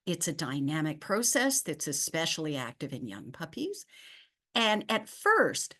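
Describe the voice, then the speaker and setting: A woman lecturing as a guest speaker on a video call, slightly hoarse from a cold, explaining with emphatic repetitions. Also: sits low, wildly swooping pitch, measured pace, sharp tone. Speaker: veterinary behavior professor, guest speaker. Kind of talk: lecture-style webinar presentation.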